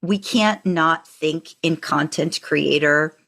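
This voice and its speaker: Female podcast co-host, lowish pitch, conversational storyteller, enthusiastic and discursive. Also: slow, a bit bright.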